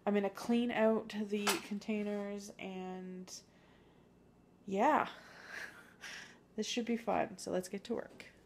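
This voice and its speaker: A woman's voice: medium pitch, steady tone, slow pace, gravelly, long pauses, noisy background. A woman in her thirties chatting casually in a home kitchen, recorded on a handheld phone, full of ums and small laughs. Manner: chatty, upbeat, filler-heavy. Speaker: vlogger. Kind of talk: casual clean-with-me vlog. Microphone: handheld phone camera.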